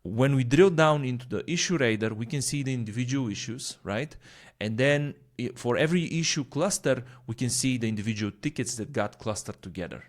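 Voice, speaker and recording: A man giving an informal, explanatory walkthrough of a software product, often checking in with a quick "right?". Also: medium pitch. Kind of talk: walkthrough software demo narration.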